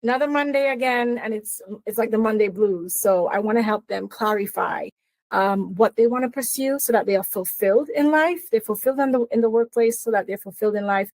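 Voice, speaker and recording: A woman's voice: fairly high pitch, a little fast, darkish tone, dry recording, rough recording. Trinidadian woman, earnest and dry-sounding. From Trinidad and Tobago. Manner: earnest, with frequent fillers and restarts. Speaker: guest, career coach. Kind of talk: interview conversation.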